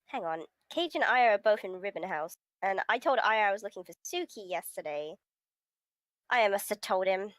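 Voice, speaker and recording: A woman's voice: mid-range voice, lively intonation, moderate pace, a bit bright, no echo, lightly acted. A woman reading the part of a beleaguered, sarcastic teenage schoolboy in a visual novel, in a grumbling manner. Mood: brooding. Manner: grumbling, sardonic. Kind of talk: visual novel read-aloud.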